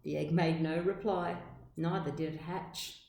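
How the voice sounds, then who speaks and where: A woman reading a children's storybook aloud like a teacher, with measured, expressive, dramatic phrasing. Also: low voice, gravelly, a little hiss or hum. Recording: echoey room sound.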